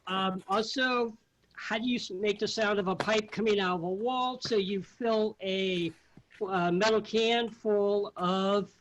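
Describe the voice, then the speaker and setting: A middle-aged man, a theatre sound effects artist, explaining informally in a halting way, searching for words. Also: high voice, fairly bright tone, low-quality recording.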